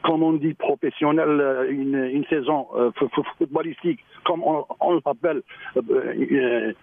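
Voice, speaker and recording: A man, a local mayor, speaking on a radio phone-in, halting and repeating words, with a choked-up voice. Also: medium pitch, steady tone, creaky, husky, dry recording. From Algeria. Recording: tinny phone line.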